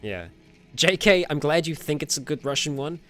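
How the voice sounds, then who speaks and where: A man's voice: fairly high pitch, expressive, normal speed, a bit bright, soft background music. A man hosting a casual livestream and answering viewer comments, rambling a little with fillers, self-corrections and frequent laughs. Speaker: streamer host.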